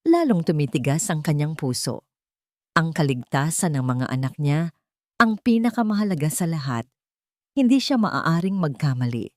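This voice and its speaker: A woman narrates a romantic drama in a low-pitched voice. The delivery is scripted, dramatic storytelling, and the recording is very dry with no room sound. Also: a little mellow, dry recording.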